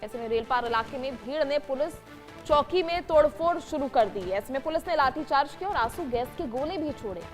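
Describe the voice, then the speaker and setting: A woman anchors a news broadcast in a high-pitched voice. Her delivery is formal and emphatic, the narration of a breaking report. Music plays faintly underneath her. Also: expressive, brisk.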